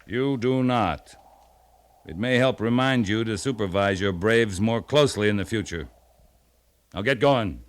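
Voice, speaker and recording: An actor playing a stern, shrewd US cavalry captain with a commanding, measured manner, in a 1950s radio western. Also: male voice, lowish voice, slight background noise, natural voice. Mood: firm, threatening. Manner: commanding, measured, pointed questioning.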